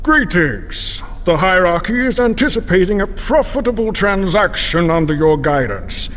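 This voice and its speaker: A woman's voice: on the low side, big pitch swings, normal speed, fairly bright tone. A woman voicing a businesslike, profit-minded alien envoy in a video game, formal and transactional. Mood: formal, calculating. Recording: long hall reverb.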